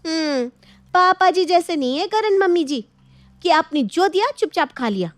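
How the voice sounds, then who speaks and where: A woman's voice: very high-pitched, lively intonation, moderate pace, dry recording, pitched above the performer's own voice. A woman voicing a young, dutiful daughter-in-law in a family story, plaintive and exasperated.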